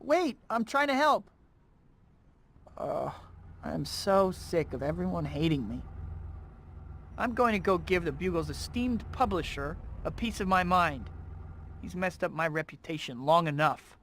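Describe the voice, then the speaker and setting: A man's voice: fairly high pitch, lively intonation, measured pace, long pauses, fairly bright tone, a little hiss or hum. A man voicing a wisecracking, easily frustrated young male superhero for a video game, with a quippy, sarcastic way of talking. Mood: exasperated. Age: young adult. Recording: music behind the voice.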